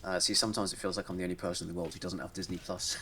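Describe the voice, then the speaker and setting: A man's voice: deep voice, little pitch movement, very fast, bright, forceful tone, a little hiss or hum. A man co-commentating a strategy game, with short replies and dry, teasing humor.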